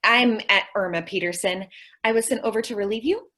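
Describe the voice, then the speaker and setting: A woman's voice: medium pitch, fairly quick, bright, forceful tone. A woman playing a ditzy, cheerful and naive young working woman in old-time radio comedy style. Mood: naively confident. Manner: naive, earnest, mangles what she means to say.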